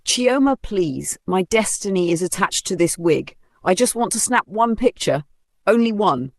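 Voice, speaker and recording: Woman playing boastful young woman, raised pitch, theatrical, pleading, comic storybook character. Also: animated, a little slow, no echo, studio quality. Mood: pleading, indignant. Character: vain, dramatic young woman craving online glory.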